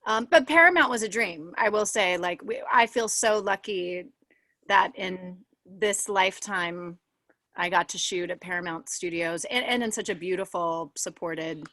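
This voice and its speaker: A woman's voice: on the low side, measured pace, dry recording. A woman chatting casually as a panelist, in a gushing manner peppered with filler words. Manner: gushing, animated phrasing with frequent "like". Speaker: actress on a cast reunion panel. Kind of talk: casual chat.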